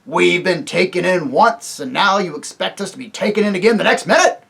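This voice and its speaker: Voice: medium pitch, expressive, brisk, vocal fry, fairly bright tone, voice pushed up. A man voicing a surly, sneering male dwarf, blunt and jeering, in a storybook reading. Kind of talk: audiobook reading.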